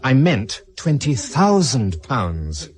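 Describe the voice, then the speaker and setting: An animated, affable British auction-house specialist in a radio drama. He is chatty, courteous and a little fussy, in a medium-pitched voice with lively intonation. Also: slow pace. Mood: expert, chatty. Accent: British English.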